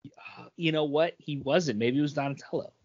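A man co-hosting a podcast, talking casually and earnestly as a fan, with pauses and emphatic praise. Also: a little high, a little mellow, breathy edge, highs cut off. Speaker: podcast co-host. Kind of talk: casual geek-culture panel chat.

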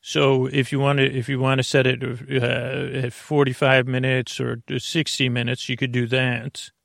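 A man hosting a bedtime podcast, rambling through an intro in a creaky voice with a meandering, halting, muttering delivery. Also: medium pitch, level tone, fairly bright tone, no echo, studio quality. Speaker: podcast host.

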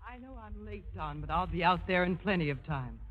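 An actress playing a gracious, eager singer and wife in a 1950s radio drama. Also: female voice, fairly low pitch, lively intonation, fairly quick, lightly acted. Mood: bright, chatty. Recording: muffled vintage radio broadcast.

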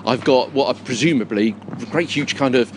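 A man's voice: medium pitch, normal speed. A man hosting a podcast on location, chatting and describing his surroundings as he goes. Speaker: podcast host. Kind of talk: on-location podcast presenting and interviewing. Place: on location at a big top tent in a park. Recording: generator hum and outdoor background noise. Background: faint generator and ambient noise.

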